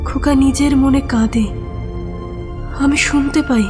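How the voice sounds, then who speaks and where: A woman's voice: high-pitched, fairly even intonation, unhurried. An actress playing a dead mother's ghost in an audio horror story, protective, resolute and commanding. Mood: aggrieved, resolute. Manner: insistent, commanding. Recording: music behind the voice.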